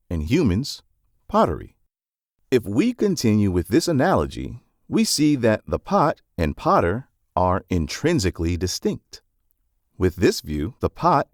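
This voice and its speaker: A man reading a scripted self-help audiobook summary in a measured, articulate, instructive way. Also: on the low side, darkish tone, no room sound, studio quality.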